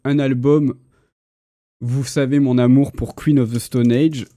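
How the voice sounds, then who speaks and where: A man's voice: medium pitch, little pitch movement, a little slow, warm tone, dry recording, clean studio sound. A man, hosting a casual, slangy pop culture livestream chat with enthusiasm. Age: 30s. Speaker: host. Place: indoor livestream.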